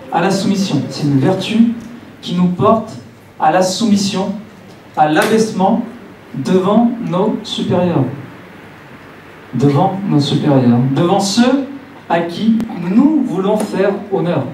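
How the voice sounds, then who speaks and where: Roomy-sounding male preacher, mellow voice. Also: high-pitched. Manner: didactic, repeating phrases for emphasis. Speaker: pastor preaching in church. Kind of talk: Pentecostal sermon with pauses and repeated phrases. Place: church hall, preaching to a congregation. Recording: roomy church, distant mic, faint noise.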